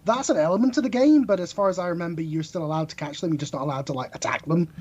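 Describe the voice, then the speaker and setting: A man's voice: fairly high pitch, racing, darkish tone, husky, muffled recording. A man in a casual podcast discussion, rambling and correcting himself as he goes.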